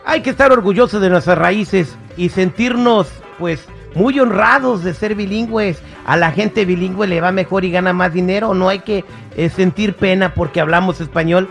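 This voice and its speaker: A man's voice: high-pitched, normal speed, creaky, few pauses, soft background music. A man hosting a call-in radio show, joking with callers and laughing often.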